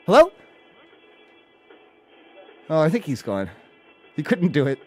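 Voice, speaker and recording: A man hosting a live prank call show, chatting casually in a rambling, halting way and often repeating his phrases. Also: fairly high pitch, huge pitch swings, music in the background.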